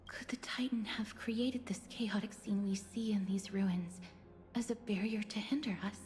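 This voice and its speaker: Actress as hushed, solemn young woman in reverb. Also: medium pitch, fairly even intonation, moderate pace, close to an everyday voice. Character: soft-spoken young woman who translates a Titan's words. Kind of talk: scripted video game acting. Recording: long reverb, clear background noise.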